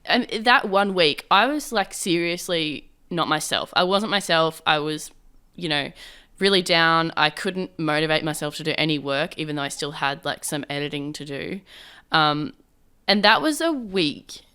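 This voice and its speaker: Low-voiced woman hosting a podcast. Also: animated, normal speed, sharp tone, clean tone. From Queensland, Australia. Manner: chatty, rambling with restarts. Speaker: podcast host. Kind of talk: casual podcast interview. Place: small office studio.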